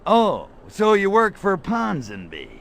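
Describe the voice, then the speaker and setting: Irritable alien tough guy, slangy, lecherous, gravelly, fairly high voice, faint noise behind, video game. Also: huge pitch swings, slow pace, fairly bright tone, full character voice. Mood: flirty, cocky. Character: wisecracking, irritable, lecherous little alien invader. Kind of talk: video game cutscene acting.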